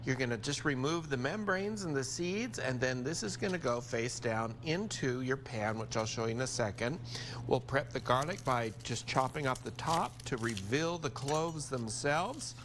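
An American man in his sixties gives a cooking demonstration in a studio kitchen. His voice sits at a middle pitch and he talks through each step conversationally. There is clear ambient noise behind him. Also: animated, moderate pace, a bit bright. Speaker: TV chef presenting a cooking segment.

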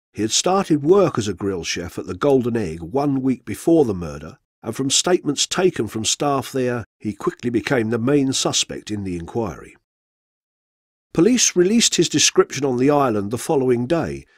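Male radio narrator, true-crime script: measured, factual, husky edge, dry studio sound. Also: medium pitch, dry recording.

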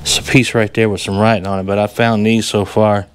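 A man's voice: on the low side, level tone, brisk, clean tone. A middle-aged man with a Southern US accent talks casually and in a folksy, easygoing way, narrating an outdoor vlog on an action camera. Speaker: metal detecting vlogger. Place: outdoors in open farm fields. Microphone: handheld or body-worn action camera.

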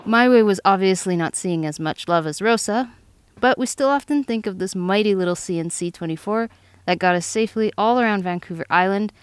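Calm woman reading a voice-over. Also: mid-range voice, brisk, smooth, no room sound. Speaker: vlog co-host, sailor. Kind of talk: scripted voice-over narration.

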